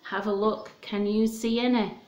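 A woman's voice: medium pitch, little pitch movement, normal speed, a little mellow. A woman, presenting storytime and reading a picture book aloud to children in simple, questioning, child-directed talk. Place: small room at home, indoors. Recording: roomy, echoey home recording.

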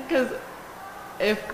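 A teenage cheerleader answering interview questions casually, giggling often. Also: female voice, sits high, very slow. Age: teens. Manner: giggly, relaxed. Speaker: cheerleader guest. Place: large classroom. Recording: echoey hall with background noise.

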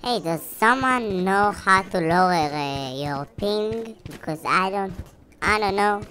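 A woman's voice: mid-range voice, animated, slow pace, a little hiss or hum. A non-native English-speaking woman giving casual, rambling, sweary gameplay commentary, repeating phrases, her voice run through a voice changer. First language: not English. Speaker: gamer playing and commenting. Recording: music playing behind the voice. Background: loud game music and sounds.

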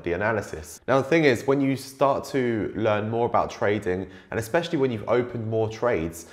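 A young man answering viewers' trading questions to camera, his voice worn from illness. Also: fairly low pitch. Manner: animated, gestures while talking. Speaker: trading channel host. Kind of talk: casual Q&A to camera. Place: indoor room against a plain wall.

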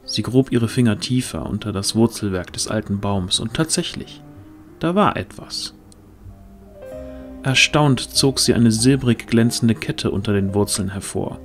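A man's voice: lowish voice, a little slow. A man narrating a fantasy audio drama as a scripted storyteller. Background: music.

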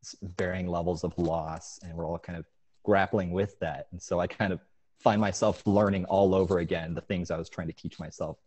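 A young man with a low-pitched voice speaks as an author at an online story event. He is at home on a video call, talking into an earphone mic. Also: fairly even intonation, fairly quick, a little mellow, husky. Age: young adult. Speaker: author reading from his short story. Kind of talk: informal talk and story reading at an online event. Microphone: wired earphone mic on a video call.

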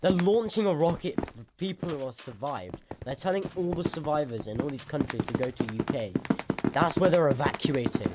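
A man's voice: on the high side, expressive, brisk, heavy background noise, close to an everyday voice. A man playing a stubborn, hopeful zombie-outbreak survivor in casual, improvised game roleplay. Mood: insistent. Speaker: roleplay performer.

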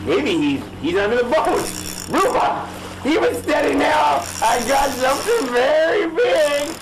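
Crusty old fisherman acted by a man, high voice, scornful, folksy, bossy, radio drama, music underneath. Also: sing-song, unhurried, creaky, full character voice, low-quality recording. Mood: excited. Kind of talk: amateur radio drama spoof acting.

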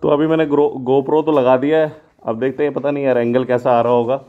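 A man's voice: mid-range voice, flat delivery, fast, phone-quality sound. A man vlogging casually as he rides a motorbike outdoors, rambling and thinking aloud, recorded on a phone mounted on the bike. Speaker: motovlogger. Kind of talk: casual vlog chat. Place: outdoors riding a motorbike on the road.

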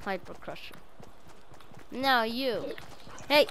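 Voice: mid-range voice, slow, a bit bright, a little hiss or hum. A female player improvising a roleplay over game voice chat, confrontational and commanding in manner.

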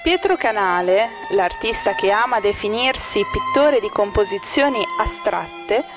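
A woman reading a scripted art-exhibition commentary in a measured, formal manner. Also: medium pitch, lively intonation, music in the background. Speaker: exhibition narrator. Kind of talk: scripted art-critic narration.

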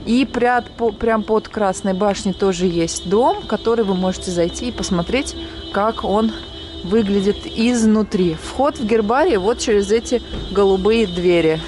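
A woman vlog host narrating a relaxed walking tour to her viewers, outdoors at night on a handheld camera. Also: mid-range voice, normal speed. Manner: relaxed guide-like commentary, speaking to viewers as friends. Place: outdoors on a city street at night. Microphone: handheld action camera. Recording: street noise behind.

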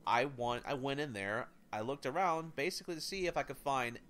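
A man co-hosting a casual gaming podcast, rambling excitedly and thinking out loud as he adds up prices. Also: medium pitch, a little fast. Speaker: podcast co-host.

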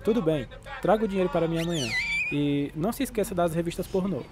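A man's voice: sits high, fast, music in the background, natural voice. A man playing a cocky teenage school friend in a radio drama.

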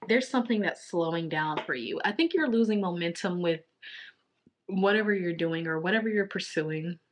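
A woman in her thirties, a little raspy from long talking, giving a casual tarot reading to the camera from a small home office. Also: lowish voice, a little hiss or hum. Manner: intuitive, repetitive, drawn-out card naming. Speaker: tarot reader.

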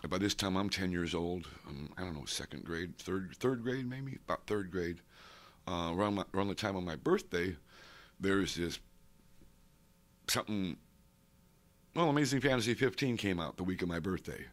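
A man's voice: deep voice, lively intonation, moderate pace. A man in his sixties, interviewed on a stand mic in a studio, telling long rambling stories and wandering off the question. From grew up abroad, Saudi Arabia, Africa and India. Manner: rambling, digressive, loses the question. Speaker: interviewee, comic collector. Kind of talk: rambling oral-history interview. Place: studio with painted backdrop, indoors. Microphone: stand mic close in front.

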